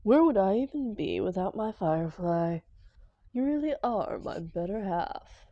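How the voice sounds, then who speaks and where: A sardonic female supervillain acted by a woman in a comic audio drama, dramatic and hot-tempered yet devoted to her partner. Her pitch is medium and her pace unhurried. The sound is close and dry. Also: expressive, dark tone, no room sound, muffled recording, close to an everyday voice. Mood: soft, apologetic, fond. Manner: sarcastic, dramatic, affectionate with partner.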